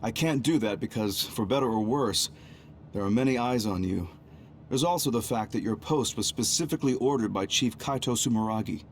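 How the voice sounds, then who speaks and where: An actor playing a stern, calm military commander and scheming reformer in an English game dub, speaking formally and deliberately. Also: male voice, medium pitch, a little hiss or hum, natural voice. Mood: firm, official. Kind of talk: English-dubbed video game cutscene acting. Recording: music behind the voice.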